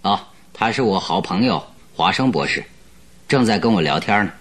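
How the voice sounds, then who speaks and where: A man's voice: fairly low pitch, lively intonation, moderate pace, a bit bright. A man playing a brusque, wry great detective in a radio drama, speaking in a dry, curt, teasing way. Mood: casual, measured.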